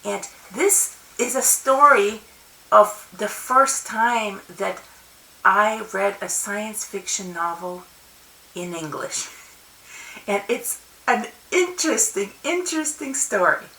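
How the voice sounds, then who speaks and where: Russian-born woman with a gravelly bright voice. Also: mid-range voice, expressive, slow. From Soviet Union. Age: middle-aged. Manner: rambling with frequent restarts and laughs. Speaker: convention speaker. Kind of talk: casual personal storytelling. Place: home study with bookshelves and plants. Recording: roomy webcam audio from a distance.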